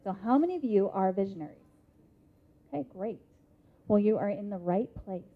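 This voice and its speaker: A woman in her thirties with an American accent gives an interactive motivational talk in a conference hall, speaking emphatically with deliberate pauses. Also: mid-range voice, lively intonation. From Idaho, United States. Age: 30s. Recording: muffled lo-fi live hall recording.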